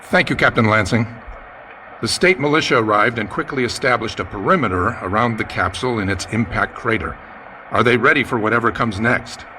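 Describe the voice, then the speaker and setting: An actor playing a grave, urgent radio news reporter giving a formal eyewitness report in a radio drama. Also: male voice, on the low side, sharp tone, slight background noise, poor audio. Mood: grave, alert. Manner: formal, measured on-the-scene reporting.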